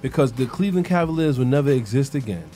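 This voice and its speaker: A man's voice: medium pitch, expressive, fast, mellow, faint music behind. A man co-hosting a casual sports talk show, emphatic and often restarting his sentences.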